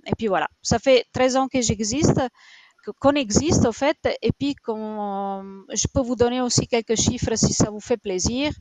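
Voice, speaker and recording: A woman's voice: fairly high pitch, unhurried, a little mellow, no echo. A woman in her forties from Italy, speaking French with an Italian accent as a shelter founder in an interview, hesitant and full of fillers. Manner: hesitant, many fillers and restarts. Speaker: rabbit shelter founder, guest. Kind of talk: interview answers.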